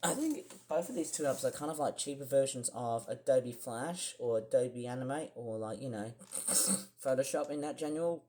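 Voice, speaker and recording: Young man, croaky voice, rambling vlogger. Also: mid-range voice, normal speed, mellow. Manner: rambling, many fillers and restarts. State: croaky, clearing throat. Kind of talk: casual screen-share vlog.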